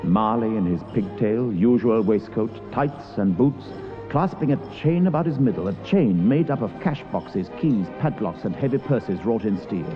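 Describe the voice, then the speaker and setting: Male narrator, low pitch, dramatic storytelling, vintage radio style, dull sound. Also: expressive, normal speed. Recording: muffled vintage radio broadcast with music.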